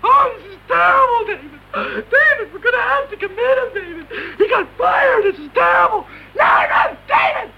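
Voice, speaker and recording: A man voicing a fretful old mother in a shrill, sobbing falsetto with theatrical, overwrought pleading on a comic spoken-word record. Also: lively intonation, moderate pace, creaky, hardly any pauses, raised voice, a loud crowd, heavily acted. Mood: distraught. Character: wailing, fretful old mother, always crying out. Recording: dull lo-fi record airplay.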